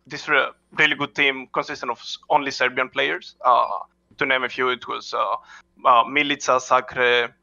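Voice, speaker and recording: Gravelly, bright-voiced man, halting speech. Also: mid-range voice, dull audio. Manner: halting, frequent "uh" fillers and restarts, non-native phrasing. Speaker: head coach, interview guest. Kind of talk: casual interview answers.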